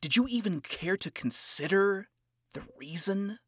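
A man voicing a cold, self-absorbed seductive woman who speaks in a hushed, condescending way. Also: mid-range voice, expressive, dry recording, studio quality. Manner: hushed, breathy, condescending, slow. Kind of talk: reading fan fiction aloud.

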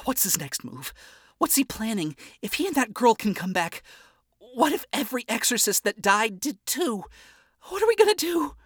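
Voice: medium pitch, very animated, moderate pace, husky, no echo, pitched above the performer's own voice. A narrator voicing frazzled sleepless princess Charlie. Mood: frantic. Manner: rambling, anxious.

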